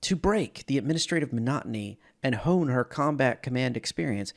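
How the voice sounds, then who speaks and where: A man giving a dramatic, measured narration of science fiction lore as a storyteller. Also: mid-range voice.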